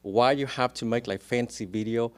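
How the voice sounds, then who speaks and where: A man's voice: mid-range voice, normal speed. A man with a strong Vietnamese accent, a real estate agent speaking as a guest in a casual interview, explaining things earnestly and step by step.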